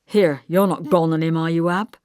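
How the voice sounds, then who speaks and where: A woman's voice: low-pitched, steady tone, normal speed, a little mellow, clear, resonant tone, dry recording, clean studio sound, close to an everyday voice. A woman voicing a blunt, bossy young working-class man in an audiobook, brusque and insistent, with colloquial turns of phrase. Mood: probing. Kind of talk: audiobook character dialogue.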